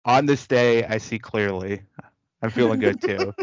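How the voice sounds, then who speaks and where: A man's voice: lowish voice, a little slow, dry recording, muffled recording. Joking man in his thirties hosting. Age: 30s. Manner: joking, teasing, upbeat. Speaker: podcast host. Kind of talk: casual podcast chat.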